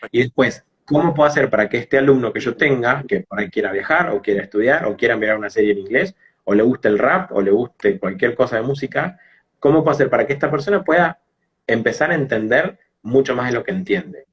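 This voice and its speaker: A man's voice: mid-range voice, lively intonation, brisk, slightly rough. A man with a Rioplatense Argentine accent, speaking as an English teacher in an interview, explaining things didactically with everyday examples. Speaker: English teacher and phonetics specialist being interviewed.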